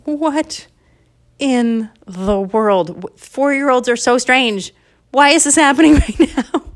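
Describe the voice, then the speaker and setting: Woman as a flustered, incredulous parent, pitched up. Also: steady tone, quick pace, husky. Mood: alarmed bewilderment. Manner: incredulous, exclamatory. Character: bewildered, alarmed parents of a small boy. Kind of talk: improvised story character.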